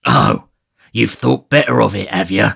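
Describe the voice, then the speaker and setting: Man playing a sick brutal housebreaker, snarling, cursing, gravelly, loud, harsh. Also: fairly low pitch, steady tone, fast, full character voice.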